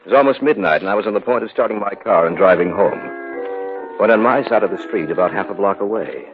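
Man playing sober sceptical friend, deep, measured, serious, 1950s radio narration, tinny, music behind. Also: lightly acted. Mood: grave narration. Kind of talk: 1950s radio acting, scripted first-person narration. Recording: tinny old radio recording.

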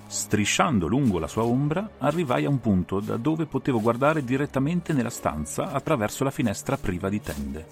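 A man's voice: mid-range voice, sing-song, normal speed, vocal fry, hardly any pauses. A man reading dramatic first-person mystery narration as an audiobook narrator. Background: atmospheric music.

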